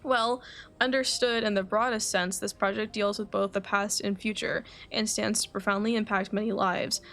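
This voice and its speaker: A woman reading aloud the game lines of a composed, cerebral alchemist in a formal, measured manner. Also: medium pitch, fairly even intonation, a bit bright, faint music behind, natural voice. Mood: calm, reflective. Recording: faint background noise.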